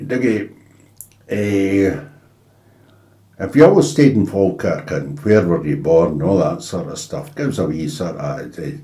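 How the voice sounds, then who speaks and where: Scottish male interviewer, very low and dark voice, halting informal delivery, roomy recording. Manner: halting, chatty. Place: indoors in a house. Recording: roomy, echoey home recording.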